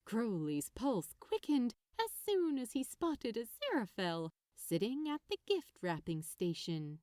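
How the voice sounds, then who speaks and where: A woman narrates a story with lively, swooping intonation. Her voice sits a little high and she takes her time, in a very dry recording. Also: measured pace, no echo. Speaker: fan fiction narrator. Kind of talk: expressive scripted story narration.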